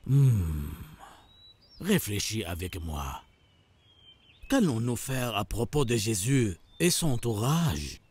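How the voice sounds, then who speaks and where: An actor voicing a scheming, gloating rabbi in a cartoon, with theatrical, drawn-out delivery. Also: male voice, on the low side, sing-song, darkish tone, slightly rough, full character voice. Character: scheming gloating villainous rabbi, male plotter. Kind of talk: animated cartoon villain acting.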